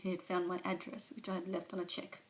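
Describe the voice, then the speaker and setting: A woman's voice: on the low side, completely flat delivery, quick pace, natural voice, rough recording. A woman performing a monologue as a remorseful recovering alcoholic mother, speaking confessionally and sometimes dropping to a whisper, recorded on a phone camera. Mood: ashamed.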